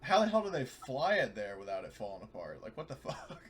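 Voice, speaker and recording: A man's voice: mid-range voice, wildly swooping pitch, brisk, slightly rough. A man chatting casually and a bit sweary as a tabletop role-playing player after a game. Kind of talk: casual post-game chat.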